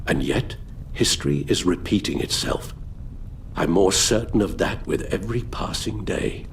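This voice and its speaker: An actor playing a weary, sorrowful elderly English butler in a video game, with a British accent and a formal, measured, emotive delivery. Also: male voice, low-pitched, animated, gravelly, slight background noise. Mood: earnest. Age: older. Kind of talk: dramatic video game voice acting.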